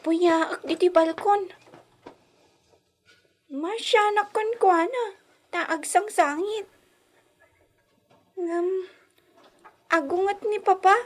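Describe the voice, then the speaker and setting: A woman playing a small, plaintive daughter with a slow, childlike, pleading manner in a radio drama. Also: very high voice, exaggerated voice. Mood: wistful. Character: small plaintive girl, daughter pining for Mama.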